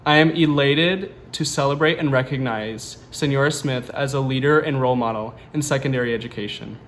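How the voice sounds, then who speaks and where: A man's voice: medium pitch, brisk, fairly bright tone. Young man reading a formal tribute. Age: 20s. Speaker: university senior student. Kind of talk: prepared award nomination statement.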